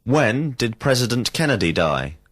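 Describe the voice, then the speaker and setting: A man reading out slow, carefully enunciated drill questions as an English teacher in a recorded lesson. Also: medium pitch, very animated, fairly bright tone. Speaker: language teacher.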